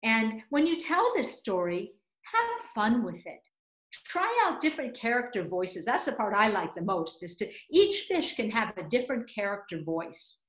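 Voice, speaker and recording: A woman's voice: fairly high pitch, very animated, moderate pace, fairly bright tone. A woman in her fifties presenting and reading for young children, with dramatic, emphatic phrasing, recorded in a room at home. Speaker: storyteller and presenter. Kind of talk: expressive storytelling for young children. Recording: roomy indoor echo.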